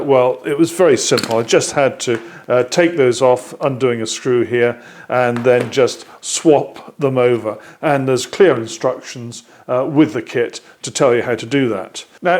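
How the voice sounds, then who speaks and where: British man presenting a workshop product demonstration. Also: mid-range voice. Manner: measured, explanatory, frequent hesitant "uh". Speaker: workshop video host.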